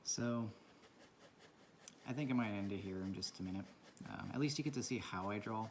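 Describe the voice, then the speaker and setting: A man with a low voice talking quietly in a casual, rambling and reflective way while he draws for his vlog. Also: normal speed, gravelly, slight background noise. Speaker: vlogger. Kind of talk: casual talk while drawing. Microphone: camera mic from a propped camera.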